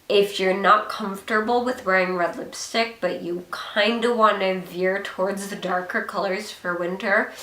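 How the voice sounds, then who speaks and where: A young woman with a hoarse voice from a cold, chatting casually with many ums as she shows off her purchases. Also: medium pitch, a bit bright, reverberant. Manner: chatty, rambling, frequent ums. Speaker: beauty vlogger. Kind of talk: casual product haul chat.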